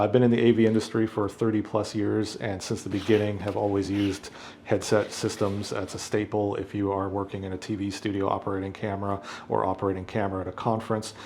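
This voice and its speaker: A man's voice: fairly low pitch, level tone, fast talker, darkish tone, a little hiss or hum. A middle-aged man hosting an unboxing, talking casually and unscripted, thinking aloud as he goes. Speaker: unboxing video host, AV professional.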